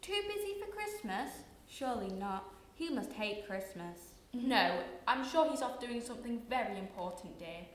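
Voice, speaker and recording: A teenage girl playing a kindly, forgiving mother of a poor family, gentle and reassuring, in a radio play. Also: a little high, expressive, normal speed, recorded from a distance, natural voice. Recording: roomy echo.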